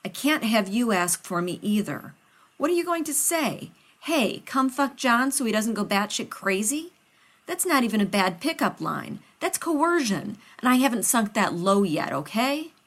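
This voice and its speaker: A woman reading a story aloud, voicing a strained, desperate male soldier who speaks in a low, clipped way. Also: slow pace, pushed and bright, exaggerated voice.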